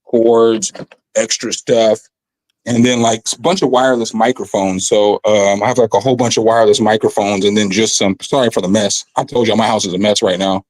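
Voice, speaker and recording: A man with a raspy voice chatting casually and loosely from his home studio, full of slang and fillers. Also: on the low side, flat delivery, a little fast, darkish tone, dry recording, low-quality recording. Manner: laid-back, slangy, rambling with fillers. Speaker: guest musician on a video call. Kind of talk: casual chat. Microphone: condenser studio mic.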